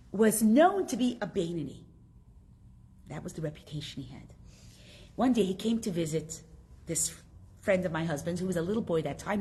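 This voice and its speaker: Middle-aged woman leading an informal religious study class; conversational teacher, medium pitch, lands key points with emphasis. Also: a little mellow. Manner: conversational, drawing answers from the class, emphatic bursts. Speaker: rebbetzin teaching a Tanya class. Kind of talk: informal religious lesson to a women's group.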